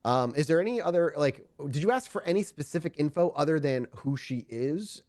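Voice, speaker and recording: Halting male game master, close dry sound. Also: fairly high pitch, expressive, darkish tone, no room sound. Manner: halting, conversational, self-correcting. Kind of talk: improvised narration of a tabletop roleplaying game.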